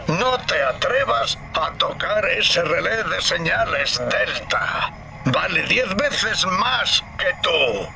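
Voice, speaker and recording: A furious mutant scientist, gravelly and ranting, highish voice, distant loudspeaker echo, noisy game audio. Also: male voice, animated, takes it slowly, exaggerated voice. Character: Alex the Great, mutated scientist, furious tyrant. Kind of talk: game voice acting.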